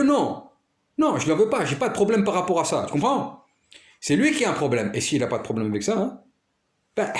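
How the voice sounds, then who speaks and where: A man's voice: on the high side, echoey room. A man talking in a rambling monologue, repeating himself and checking that the listener follows. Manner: rambling, repeats himself, keeps asking "you understand?". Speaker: vlogger.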